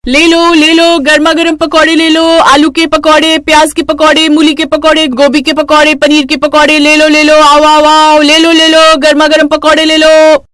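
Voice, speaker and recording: Woman as a high, spirited young street vendor. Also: completely flat delivery, normal speed, few pauses, fairly bright tone, dry recording, studio quality. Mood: loud hawking.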